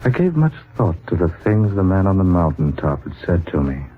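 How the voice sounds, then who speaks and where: Idealistic younger writer, 1940s radio drama. Earnest, theatrical, very lively intonation, dull lo-fi audio. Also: male voice, deep voice, fairly quick, faint music behind. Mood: reflective. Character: earnest younger man, a writer desperately seeking truth. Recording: muffled band-limited 1940s radio recording.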